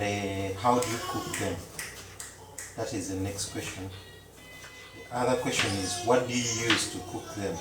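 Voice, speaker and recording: A man, a Luganda speaker, chatting casually to viewers as he cooks in a home kitchen, slow and repetitive. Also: on the low side, echoey room, recorded from a distance. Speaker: cooking vlogger. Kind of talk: casual live-stream chat.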